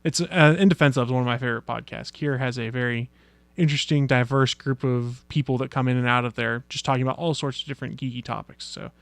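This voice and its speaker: A man's voice: medium pitch, fairly even intonation, fast, vocal fry, no echo. A man hosting a geeky podcast, chatting casually and laughing easily. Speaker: podcast host.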